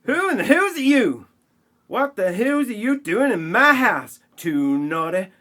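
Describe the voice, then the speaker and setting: A man's voice: high-pitched, very animated, slow pace, sharp tone, pitched above the performer's own voice, heavily acted. A man voicing an indignant, threatening woman thug in a broad, scolding, exaggerated manner for a comedy audio play.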